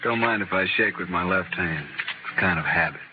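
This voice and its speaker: An actor in an old radio western playing a courtly, deadly, ailing American gunfighter, polite and coolly sardonic. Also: male voice, low voice, moderate pace, muffled recording, close to an everyday voice. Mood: dryly sociable. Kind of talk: 1950s radio western acting. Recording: thin, noisy old radio recording.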